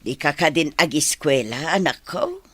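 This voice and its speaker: A woman's voice: low-pitched, lively intonation, normal speed, husky, dry recording. A woman with a Filipino accent playing an emotional, resentful mother in a radio drama. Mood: fond, concerned.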